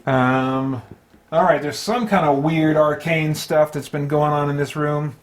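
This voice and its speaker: A man with a voice pitched a little above average hosts a solo tabletop game. He talks casually and without a script, thinking aloud in a halting way as he narrates his own play to himself and the viewer. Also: fairly even intonation.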